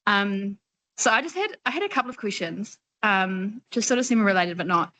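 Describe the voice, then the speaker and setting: A woman's voice: mid-range voice, animated, fairly quick, pushed and bright. A woman from New Zealand with a New Zealand accent, asking a long, rambling and candid question on a video call webinar. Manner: chatty, rambling, candid and informal. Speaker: audience member asking a question. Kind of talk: webinar discussion.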